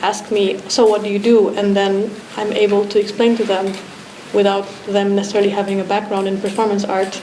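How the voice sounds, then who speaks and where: Woman from Romania, reflective and rambling, roomy echo, steady background noise. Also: medium pitch, a little fast, few pauses. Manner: reflective, loose, many restarts and fillers. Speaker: artist guest. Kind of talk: talk discussion. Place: art academy pavilion. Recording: echoey room with background noise.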